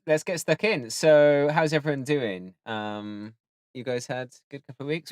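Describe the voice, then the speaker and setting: Male stream host, mid-pitched, relaxed, stalls with ums between thoughts. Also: clean tone, dry recording, studio quality. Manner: relaxed, hesitant, many ums. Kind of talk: casual chat on a live stream.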